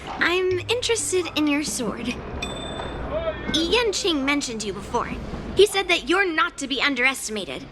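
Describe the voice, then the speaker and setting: A woman's voice: high voice, noisy background. A woman playing a cheerful, confident young swordswoman in video game story dialogue, speaking in a frank, friendly, breezy manner over music. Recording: background music behind the voice.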